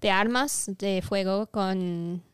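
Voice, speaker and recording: A woman's voice: mid-range voice, takes it slowly, smooth, no room sound, clean studio sound. A woman co-hosting a podcast in casual, bantering chat. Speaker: podcast co-host.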